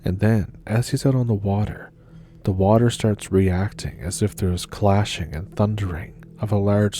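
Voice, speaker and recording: A man reading submitted scary stories as a storytelling host, in a measured, unhurried scripted narration. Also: fairly low pitch, mellow.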